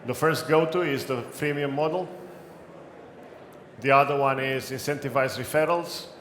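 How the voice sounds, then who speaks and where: A man with a non-native English accent giving a brisk, practical conference talk, with light jokes. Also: mid-range voice, a bit bright. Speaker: conference speaker. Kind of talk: talk with audience questions. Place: event hall. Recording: echoey room, faint background noise.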